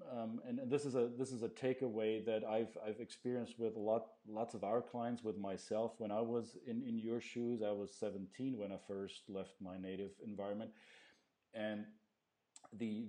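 Podcast host guy, low mellow voice, slow and thoughtful. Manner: measured, thoughtful, reframes guest's words. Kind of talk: interview.

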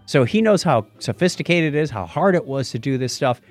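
A man's voice: a little high, expressive, a little fast, faint music behind. A man hosting a podcast in a casual, conversational way, telling stories with animation. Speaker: podcast host.

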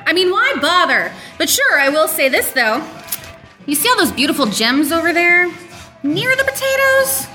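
A woman playing a very high-pitched sarcastic companion. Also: big pitch swings, normal speed, pushed and bright, heavily acted. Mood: sarcastic, exasperated. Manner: sarcastic, casual. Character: sarcastic, exasperated young female space companion. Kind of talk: live old-time radio comedy acting. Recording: roomy live recording, music behind.

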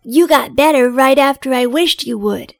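A woman's voice: sits high, normal speed, vocal fry, no echo, studio quality. A woman playing an earnest, innocent seven-year-old boy in an audio drama. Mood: confident, sincere. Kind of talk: audio drama acting and first-person narration.

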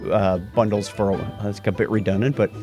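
A man's voice: on the low side, fast talker, soft background music. A man co-hosting a casual tech podcast, rambling and correcting himself as he talks. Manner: rambling, self-correcting, lively. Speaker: podcast co-host.

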